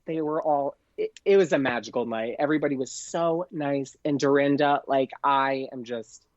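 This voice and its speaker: A man's voice: medium pitch, dry recording. A man, a comedian, chatting casually as a podcast guest in a gushing, effusive way, over a dull lo-fi connection. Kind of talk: casual interview chat.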